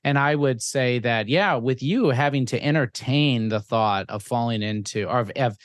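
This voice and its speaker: A man's voice: medium pitch, normal speed, no room sound. A man hosting a podcast, talking in a casual, reflective way and hesitantly searching for his words. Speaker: podcast host.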